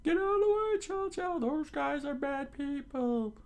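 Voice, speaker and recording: A man voicing alarmed, angry townspeople in a shrill, shouting falsetto for a read-aloud adventure story. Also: lively intonation, a little slow, heavily acted. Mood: pleading, alarmed. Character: angry, alarmed townspeople mob loyal to their mayor.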